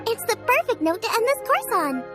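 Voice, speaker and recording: A woman's voice: very high voice, big pitch swings, fairly quick. A woman voicing a childlike, eager, bubbly girl character in a video game, with music behind her. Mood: proud, cheerful.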